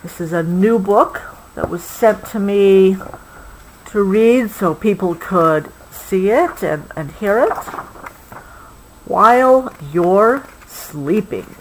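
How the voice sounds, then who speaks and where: An American woman reading a picture book aloud to a child, expressively, pausing patiently to explain things in a casual way. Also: medium pitch, slight background noise, poor audio.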